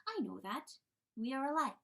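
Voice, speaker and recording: A woman's voice: high voice, very animated, moderate pace, creaky, a bit bright, pitched above the performer's own voice, heavily acted. A woman reading a storybook, voicing a kind rooster lord who speaks in a soothing, earnest way. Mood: tender. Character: kind, gentle rooster lord, tender and reassuring.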